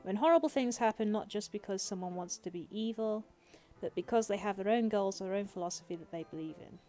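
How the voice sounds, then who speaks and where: A woman's voice: mid-range voice, fairly quick, warm tone, soft background music. A woman streamer with a sarcastic, theatrical manner, reading out game dialogue and commenting on it. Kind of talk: livestream game playthrough commentary, reading dialogue aloud with reactions.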